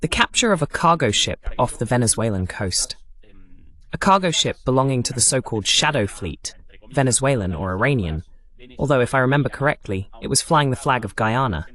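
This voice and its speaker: A man hosts a current affairs talk in a measured, formal way. His voice sits at an ordinary pitch and moves up and down in a lively manner, like an announcer introducing and steering a discussion. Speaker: host. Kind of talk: scripted introduction and moderating questions, translated voice-over.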